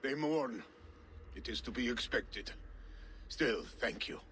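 A man playing a sorrowful, subdued Viking in a video game, speaking haltingly. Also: mid-range voice, animated, a bit bright, slight background noise. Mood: grieving. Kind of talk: dramatic video game acting.

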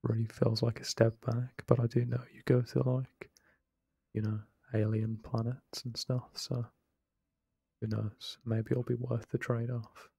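A young man rambling softly in casual late-night commentary over a game he is playing. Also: mid-range voice, flat delivery, a little slow, gravelly, slightly rough, dry recording. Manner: soft-spoken, rambling, self-deprecating. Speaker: let's play creator. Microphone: close desk microphone, no webcam.

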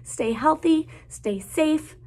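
A woman in her twenties, a teacher reading a picture book aloud to young children in a deliberate, child-directed way. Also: high voice, a little mellow. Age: 20s. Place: small classroom, indoors.

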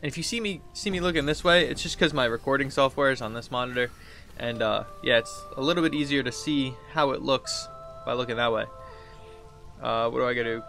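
A man's voice: mid-range voice, a little fast, sharp tone, faint music behind. A man in his thirties giving casual, rambling let's play commentary, thinking aloud as he plays. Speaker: gaming video host. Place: indoors at a computer desk.

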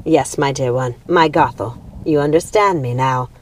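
A woman's voice: rumbling low, moderate pace. Storybook witch queen, played by a female reader: cruel, proud, commanding, theatrical, over a noisy recording. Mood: intense, possessive.

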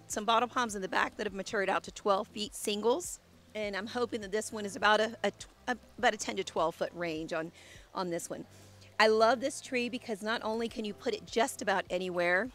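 Woman in her 30s, kinda gravelly, losing her voice, chatty garden tour outside w/ a handheld mic. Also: mid-range voice, a bit bright. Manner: chatty, enthusiastic. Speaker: landscape designer. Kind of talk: casual garden walkthrough. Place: outdoor home garden.

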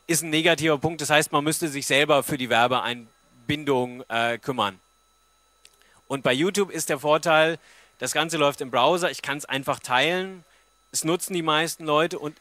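A man's voice: fairly high pitch, bright, forceful tone, dry recording. A man in his thirties giving an informal, explanatory talk on stage into a stand microphone and answering questions. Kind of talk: informal public presentation with audience Q&A. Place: stage at a book fair forum. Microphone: handheld-type microphone on a stand.

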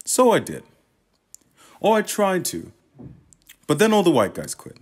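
An actor playing a wry, self-deprecating playwright in a radio play monologue, conversational, deadpan and hesitant. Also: male voice, high voice, a little slow, breathy edge, full character voice. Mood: wry, confiding. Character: wry, awkward, self-deprecating male playwright telling his story.

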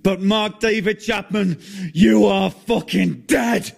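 A man voicing a man revived from the dead who breaks from composure into shouting rage. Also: mid-range voice, moderate pace, natural voice. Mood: bitter. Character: grieving, furious man revived from death.

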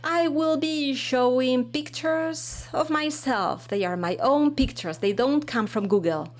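Woman, simultaneous interpreter, high pitch, hesitant delivery with fillers. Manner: halting, with fillers and frequent restarts. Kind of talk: live interpretation of a keynote talk.

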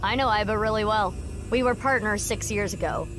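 A woman voicing a composed young female agent in a video game, speaking in a calm, matter-of-fact way. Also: mid-range voice, fairly quick, close to an everyday voice. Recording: game audio with background music.

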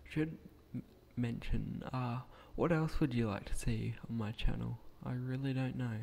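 Quiet croaky young man, gravelly. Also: mid-range voice, fairly even intonation, a little slow, slight background noise. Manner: hesitant, rambling, with frequent restarts; speaking quietly at night. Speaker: gaming channel creator. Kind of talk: casual voice-over narrating a game build.

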